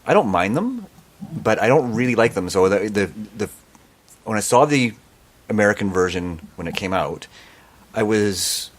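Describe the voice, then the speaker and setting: Co-host guy, kinda low voice, trips over his words a lot. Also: animated, moderate pace, long pauses. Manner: hesitant, stumbles and repeats words. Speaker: podcast co-host. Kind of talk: casual podcast chat.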